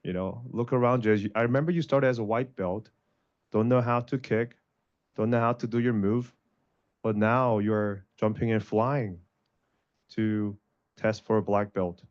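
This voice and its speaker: Man, 30s, earnest motivational panelist; lowish voice, lo-fi sound. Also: steady tone, moderate pace. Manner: earnest, motivational, repeats phrases. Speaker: panelist and martial arts instructor. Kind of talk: panel discussion answer.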